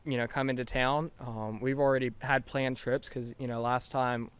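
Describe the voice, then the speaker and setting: A man's voice: medium pitch, little pitch movement, moderate pace, clean tone. A teenage boy with a light Southern US accent, chatting casually as a podcast guest, rambling and laughing often. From South Carolina. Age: teens.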